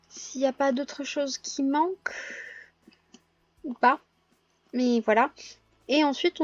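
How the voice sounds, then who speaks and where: Hoarse high-voiced woman with a cold. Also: no room sound, dull audio. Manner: hesitant, many filler pauses and self-corrections. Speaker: gaming vlogger. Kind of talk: casual gameplay commentary.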